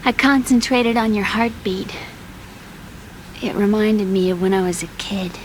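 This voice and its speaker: A woman's voice: medium pitch, unhurried, a little hiss or hum, natural voice, low-quality recording. A woman playing an earnest, emotional young woman, a vulnerable younger sister, in a dramatic video game cutscene. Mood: wistful. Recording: loud music under the voice.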